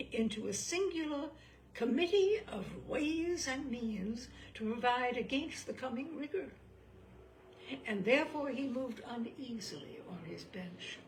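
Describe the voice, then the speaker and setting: Slow, lilting woman storyteller with creaky voice. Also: a little high, a little hiss or hum, poor audio. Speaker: storyteller reading a short story aloud. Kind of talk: expressive literary story narration.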